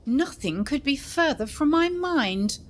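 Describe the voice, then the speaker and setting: A woman's voice: high-pitched, expressive, measured pace. A woman playing a prim, earnest governess in an audio drama, anxious and politely proper. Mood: indignant, anxious.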